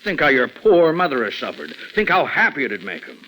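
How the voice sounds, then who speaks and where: A coaxing, animated small-town ex-police chief. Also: male voice, mid-range voice, brisk. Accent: American. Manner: folksy, persuasive, hesitant lead-ins. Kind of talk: 1940s radio drama acting. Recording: faintly noisy 1940s radio recording.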